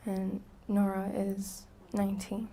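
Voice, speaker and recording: A soft-spoken young woman from Iraq answers in short, quiet replies from the witness stand. Her delivery stays flat and her tone mellow, with faint noise behind her. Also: mid-range voice, takes it slowly.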